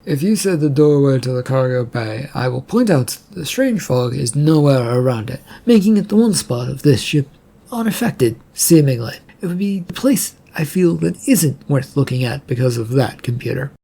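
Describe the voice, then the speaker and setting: A man's voice: medium pitch, animated, moderate pace, gravelly, dark tone, slightly rough. A man voicing a weary, stubborn male second-in-command of a ship, skeptical and resigned, in an audio story.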